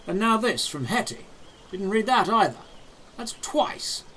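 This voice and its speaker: An old knight spymaster, acted out by a man, speaks in a high voice with lively pitch movement. He is measured, emotionless and all-knowing, a fantasy audio drama figure with birdsong behind him. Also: a little hiss or hum, full character voice. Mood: knowing, probing. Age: older. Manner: measured, emotionless, matter-of-fact.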